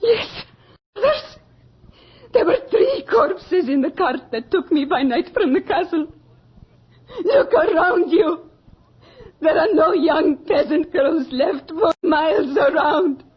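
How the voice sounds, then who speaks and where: An actress playing a frightened young peasant seamstress in a radio horror drama, halting, tearful and pleading. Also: female voice, very high-pitched, animated, heavily acted. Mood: desperate accusation. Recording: dull lo-fi old radio recording.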